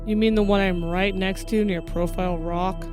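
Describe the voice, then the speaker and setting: Female park ranger sergeant, woman acting, practical and clipped, audio drama with a clear music bed. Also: medium pitch, steady tone, moderate pace, lightly acted. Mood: businesslike.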